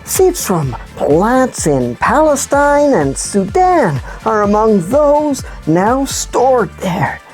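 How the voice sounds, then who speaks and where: A woman voicing an excitable, dramatic puppet presenter on a children's news show, with playful, exaggerated delivery. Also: a little high, poor audio. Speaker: host.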